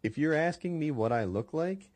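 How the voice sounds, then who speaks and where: A man's voice: a little high, lively intonation, normal speed, a little mellow, dry recording. A man hosting a podcast, chatting casually and telling rambling, conversational stories. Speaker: podcast host. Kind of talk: casual chat and storytelling.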